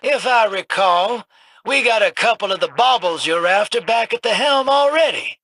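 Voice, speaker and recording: An actor playing a roguish, wisecracking male hustler in a video game, raspy, folksy and cocky. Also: high-pitched, lively intonation, normal speed, bright, forceful tone, heavily acted, rough recording. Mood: sly, upbeat. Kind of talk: video game character dialogue.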